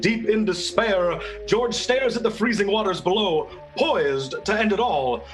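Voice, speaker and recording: A man's voice: sits high, expressive, a little slow, over music. A man narrating and announcing a radio play from a script.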